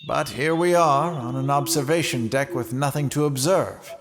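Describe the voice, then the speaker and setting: In a long reverb with music beneath, a man plays a grave, courteous commander of a remote space station. He speaks formally and at length, in a mid-pitched voice, as in a radio play. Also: close to an everyday voice. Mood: wistful, grave. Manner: measured, formal, speechifying. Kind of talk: audio drama acting.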